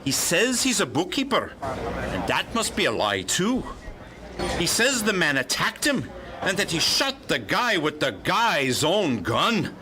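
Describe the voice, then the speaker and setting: An actor playing a pompous, irritable and stubborn police detective in a pulp radio drama, brusque and blustering. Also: male voice, a little high, huge pitch swings, a little slow, a crowd in the background. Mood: self-important, dismissive. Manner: blustering, brusque, talks over others.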